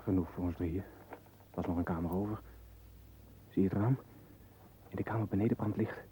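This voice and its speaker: An actor as dark-voiced jumpy hunted man. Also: low voice, fairly even intonation, normal speed, slight background noise, lightly acted, poor audio. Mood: wary. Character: tense, jumpy man fearing he is being hunted. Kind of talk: Dutch radio play acting.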